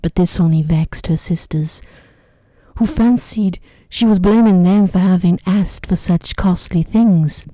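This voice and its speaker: A woman narrating a sleep story in a soft-spoken ASMR style, with a hushed, gentle, measured delivery close to the microphone. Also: on the low side, no echo.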